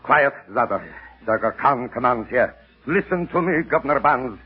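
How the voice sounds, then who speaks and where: Imperious villain, husky old-radio sound. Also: male voice, medium pitch, expressive. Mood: cruel, commanding. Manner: commanding, theatrical, deliberate. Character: imperious menacing villain, cult master and hypnotist. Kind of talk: 1940s radio acting. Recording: dull old radio recording, music behind.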